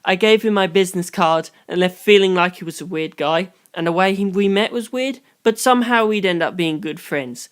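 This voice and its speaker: Conversational first-person audiobook narrator, medium pitch. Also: moderate pace, hardly any pauses, clean tone. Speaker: audiobook reader.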